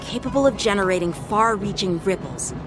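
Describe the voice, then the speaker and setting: A young man voicing an earnest, dutiful young military lieutenant in a video game, speaking formally and with measured authority. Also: high voice, natural voice. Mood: measured, polite. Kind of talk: English video game voice acting. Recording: music behind the voice. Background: game music.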